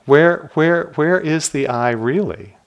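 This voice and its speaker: A man teaching meditation in a dharma talk, calm and reflective, putting questions to his listeners. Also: fairly high pitch, takes it slowly, highs cut off. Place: retreat center hall.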